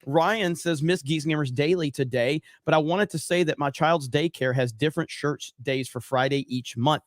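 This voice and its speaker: A man hosting a casual livestream, reading viewer messages and shout-outs with energy while his voice is starting to go and crack from overuse. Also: fairly high pitch, normal speed, no echo, studio quality. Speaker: host.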